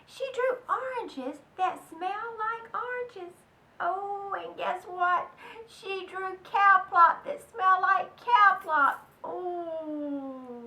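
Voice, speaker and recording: A woman's voice: very high-pitched, pushed and bright. A woman reading a children's storybook aloud expressively, speaking directly to young listeners. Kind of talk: children's read-aloud narration. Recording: roomy, distant mic, faint noise.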